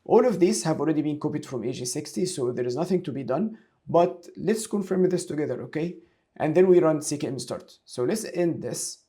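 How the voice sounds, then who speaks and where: A man giving a step-by-step software tutorial, talking through each action aloud as an instructor. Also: a little high. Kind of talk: screen-share tutorial, explaining step by step.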